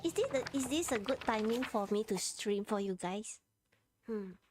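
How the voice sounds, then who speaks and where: A woman's voice: medium pitch, lively intonation. A woman with a Malaysian accent chatting casually and gigglily as she livestreams a game. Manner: giggly, clipped unhurried phrases. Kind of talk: casual chat while playing. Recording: game music behind voice.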